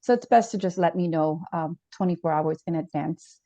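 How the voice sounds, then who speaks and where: A woman's voice: fairly low pitch, moderate pace, mellow, no room sound, natural voice. A woman playing a student therapist in a role-played counseling session, explaining policies formally and hesitantly, with many fillers. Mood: calm, formal.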